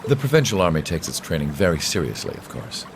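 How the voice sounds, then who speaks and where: A man playing a composed, authoritative young nobleman in a video game, courteous and formal with quiet menace. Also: deep voice, sing-song, a little hiss or hum. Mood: courteous, smooth. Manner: polished, measured formal speech. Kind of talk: dramatic video game voice acting.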